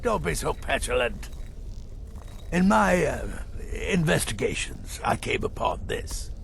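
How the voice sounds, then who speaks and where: An actor playing a crotchety, scheming old assassin in a video game, gravelly, with a theatrical and condescending delivery. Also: male voice, a little high, sing-song, measured pace, fairly bright tone, a little hiss or hum, exaggerated voice. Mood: sly, relishing. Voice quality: gravelly, creaky. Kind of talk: video game character acting.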